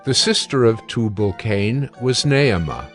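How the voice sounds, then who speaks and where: A man narrating scripture from a script in a measured, solemn manner. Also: fairly low pitch, very animated, soft background music.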